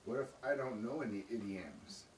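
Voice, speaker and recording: A man's voice: lowish voice, moderate pace, darkish tone, close to an everyday voice. A man playing a polite, unsure young wizard student in an amateur puppet show, giving brief, deferential answers. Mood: unsure, curious. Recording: echoey, distant mic with faint noise.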